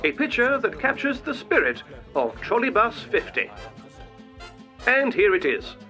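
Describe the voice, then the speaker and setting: A man's voice: high voice, sing-song, measured pace, bright, forceful tone, faint music behind, heavily acted. A man playing a jaunty, pompous old-time newsreel announcer with flowery, tongue-in-cheek narration. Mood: jaunty, grand.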